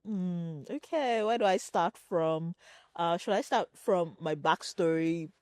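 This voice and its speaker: A woman from Nigeria, a startup co-founder answering interview questions in a measured, reflective way, pausing often with fillers. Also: fairly low pitch, darkish tone, no room sound, studio quality. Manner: measured, thoughtful, pauses with fillers.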